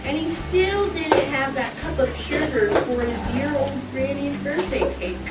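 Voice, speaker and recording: A woman's voice: high voice, measured pace. A woman telling a story as a narrator in an amateur stage reading. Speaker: narrator in a reader's theater. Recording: echoey room, far mic, loud music behind.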